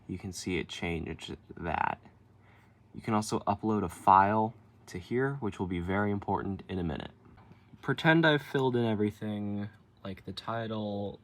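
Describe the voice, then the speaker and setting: A man giving a casual step-by-step how-to tutorial in an informal, colloquial way, explaining as he demonstrates. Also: sits low. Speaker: tutorial presenter.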